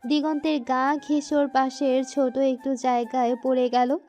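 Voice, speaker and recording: High, mellow woman storyteller over music. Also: little pitch movement, moderate pace. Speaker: story narrator. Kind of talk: scripted romantic audio story narration.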